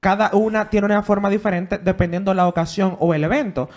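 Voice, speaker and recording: A man's voice: sits high, steady tone, brisk, a little mellow. A man in his thirties hosting a TV studio segment, upbeat and teasing, talking into a handheld mic. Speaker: TV show host.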